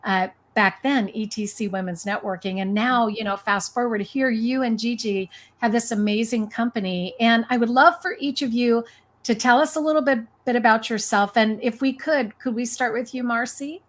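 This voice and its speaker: Host, woman in her sixties, high-ish voice, encouraging, frequent affirmations. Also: normal speed. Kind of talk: casual interview chat.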